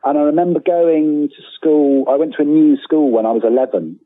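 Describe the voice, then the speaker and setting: Husky British man on a thin phone-like line. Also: a little high, brisk, no echo. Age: 40s. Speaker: guest author. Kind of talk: casual interview conversation. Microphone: remote call. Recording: thin phone-like call, dry.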